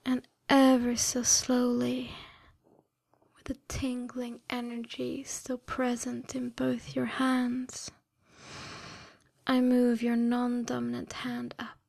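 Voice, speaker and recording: A woman in a scripted hypnosis ASMR style, mostly whispering breathily in a hushed, deliberate, soothing yet commanding manner. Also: fairly high pitch, monotone, gravelly, no echo. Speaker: erotic hypnosis audio performer.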